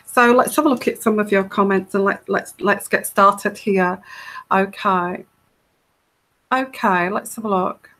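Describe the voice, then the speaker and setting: Woman, soft soothing voice, unhurried, meandering casual chat, live tarot Q&A host. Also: medium pitch, steady tone. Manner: rambling, hesitant, many fillers and restarts. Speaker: tarot reader hosting a live Q&A.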